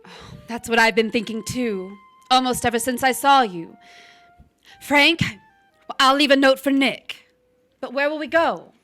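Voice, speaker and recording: An actress playing a proud, restless young wife in a stage drama, defensive and sharp-tongued. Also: female voice, high voice, measured pace, faint music behind. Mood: anxious, yearning. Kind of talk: stage drama performed online.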